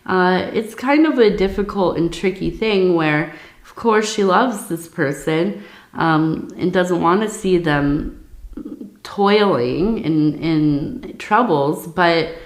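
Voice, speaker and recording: A woman reading tea leaves in a soft-spoken ASMR style, hoarse from losing her voice, rambling with many fillers and a dry mouth. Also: on the low side, slow pace, echoey room. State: losing her voice, sore throat. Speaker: tea leaf reader.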